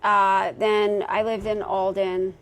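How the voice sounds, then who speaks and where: A woman in her forties from Pennsylvania, a firefighter guest chatting casually in a podcast interview, relaxed and quick to laugh, with plenty of ums and uhs. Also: mid-range voice, clean tone. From Pennsylvania, United States. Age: 40s. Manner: relaxed, laughs easily, many um and uh fillers.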